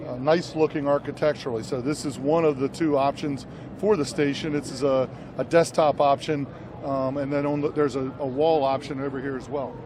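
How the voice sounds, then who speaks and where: A man's voice: medium pitch, fairly even intonation, fairly quick, darkish tone. A middle-aged man giving explanatory product talk as a company representative, into an interviewer's handheld microphone. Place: large busy trade show hall, indoors. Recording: noisy exhibition hall behind voice.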